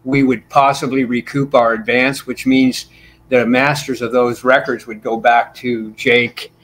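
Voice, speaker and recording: A man's voice: mid-range voice, little pitch movement, a little slow, a bit bright, a little hiss or hum, rough recording. Talkative older man on a video call. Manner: talkative, rambling storyteller. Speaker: bassist guest. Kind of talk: casual interview chat. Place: small home room with shelves. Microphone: video call with desk mic.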